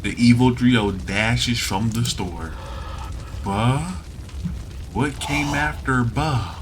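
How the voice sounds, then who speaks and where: A man reading a visual novel aloud, acting out dialogue in an animated way and adding asides. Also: medium pitch, takes it slowly, slight background noise. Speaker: gamer playing a visual novel. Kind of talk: dramatic read-aloud of game text with asides. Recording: music behind the voice.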